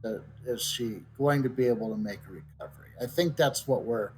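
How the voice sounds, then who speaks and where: A man giving casual live commentary on a game, thinking aloud in a pensive, halting way. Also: mid-range voice. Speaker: game streamer. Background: game music.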